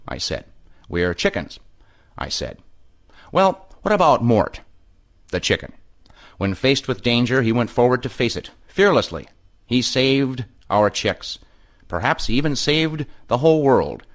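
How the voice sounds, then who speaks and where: An earnest chicken pundit in a cartoon game. His voice is low, with big dramatic rises and drops. He editorializes pompously yet sounds meek underneath. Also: a bit bright. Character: timid, earnest male chicken TV commentator. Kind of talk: mock editorial commentary.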